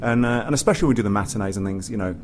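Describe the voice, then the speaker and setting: A man's voice: lowish voice, rapid-fire. Rambling man on a noisy panel recording. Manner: rambling, repeats and restarts phrases, often says "you know". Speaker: pantomime writer, performer and director on a discussion panel. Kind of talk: unscripted panel discussion. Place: theatre, live panel after a show. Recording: noisy live room recording.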